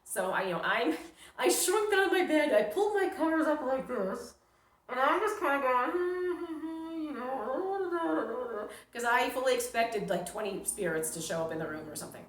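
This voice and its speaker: A woman's voice: high voice, expressive, moderate pace. A middle-aged woman from New York tells a personal story casually and dramatically, acting out her reactions. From New York, United States. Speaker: storyteller, vlogger. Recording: roomy, echoing sound.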